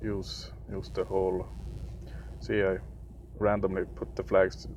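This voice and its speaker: A young man from Sweden with a Swedish accent, for whom English is a third language, giving casual gameplay commentary in a halting way, often searching for words. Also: sits low, completely flat delivery, darkish tone, a little hiss or hum. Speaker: gaming video creator.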